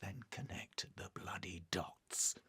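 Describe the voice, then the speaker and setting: An actor playing a stubborn, driven intelligence handler with a British accent, terse and defensive, in a radio drama. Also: male voice, mid-range voice, animated, moderate pace, slightly rough.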